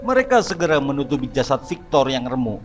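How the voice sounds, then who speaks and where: Expressive male storyteller. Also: mid-range voice, fast, over loud music. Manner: dramatic, expressive. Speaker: narrator. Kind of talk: scripted storytelling narration.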